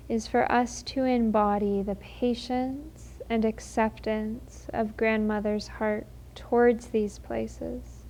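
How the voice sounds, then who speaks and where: A woman leading a guided meditation in a gentle, unhurried, soothing manner with long pauses. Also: fairly high pitch.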